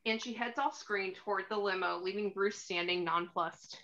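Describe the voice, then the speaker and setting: A woman's voice: mid-range voice, normal speed, fairly bright tone, muffled recording. A woman reading screenplay directions aloud as scripted narration for a table read.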